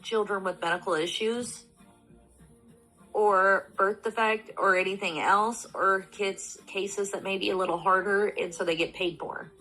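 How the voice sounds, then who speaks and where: A woman's voice: medium pitch, steady tone, unhurried, pushed and bright, faint music behind, rough recording. Stuffy-nosed woman in her thirties. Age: 30s. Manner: rambling, many fillers and restarts. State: stuffy from allergies. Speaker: panelist. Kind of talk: casual discussion.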